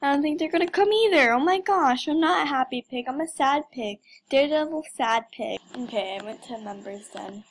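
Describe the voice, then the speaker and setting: High-pitched young woman, playfully dramatic. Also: expressive, a little fast, hardly any pauses, slight background noise. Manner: playful mock drama, exclamations. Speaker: gamer recording gameplay. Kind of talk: casual playful game commentary.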